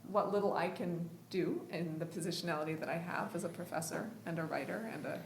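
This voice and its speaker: Woman professor, measured and reflective, mid-pitched voice, roomy echo with faint background hiss. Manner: thoughtful, measured, with light laughs. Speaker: professor and writer. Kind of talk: reflective remarks in a group conversation.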